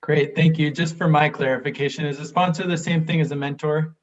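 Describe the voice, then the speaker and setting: A man's voice: a little high, fairly even intonation, brisk, recorded from a distance, muffled recording. A man moderating a panel on a video call, giving friendly brief prompts and thanks.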